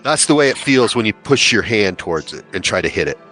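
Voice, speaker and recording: Man, game master, low pitch, tabletop narration, faint background music. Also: very animated, fast, pushed and bright. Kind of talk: narrating and refereeing a tabletop role-playing game. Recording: music playing under the voice.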